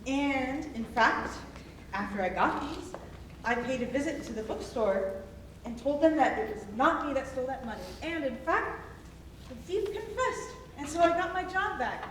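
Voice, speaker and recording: A woman's voice: high voice, lively intonation, moderate pace, a bit bright. A woman playing a cheerful, newly confident friend in a staged reading. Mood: pleased, chatty. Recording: very echoey hall, distant mic, faint noise.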